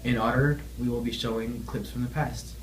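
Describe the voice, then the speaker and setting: A man's voice: mid-range voice, steady tone, moderate pace, slight background noise, poor audio. A young man reading scripted news as an anchor and reporter.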